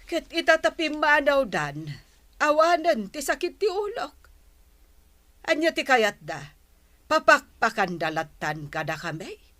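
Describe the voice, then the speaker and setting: A sharp, forceful voice from a woman acting a middle-aged mother in an audio drama. She is blunt, scornful and fed up, speaking slowly with animated swings in pitch. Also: full character voice. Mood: exasperated, scornful. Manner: blunt, exasperated. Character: fed-up, scornful mother washing her hands of her son. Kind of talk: Ilocano radio-style audio drama acting.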